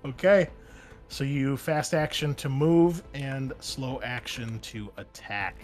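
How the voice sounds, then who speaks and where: A man's voice: mid-range voice, slow pace, soft background music. A man acting as game master of a tabletop roleplaying game, narrating scenes and explaining the rules conversationally.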